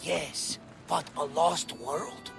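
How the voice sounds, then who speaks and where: Music plays loudly behind a very gravelly male companion in a video game. His voice is high for a man, and he sounds gruff, teasing and skeptical. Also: extremely slow, full character voice. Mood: teasing, doubtful.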